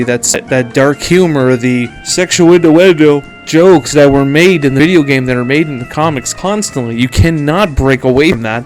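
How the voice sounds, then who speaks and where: A blunt, emphatic man with a Canadian accent giving opinionated film commentary as a podcast host, sometimes swearing. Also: medium pitch.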